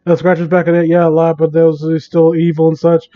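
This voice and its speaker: A man doing a casual livestream reading and commentary, exasperated, sarcastic and sweary, with a halting delivery. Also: a little high, completely flat delivery, lo-fi sound. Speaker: live streamer reading and mocking online fan fiction.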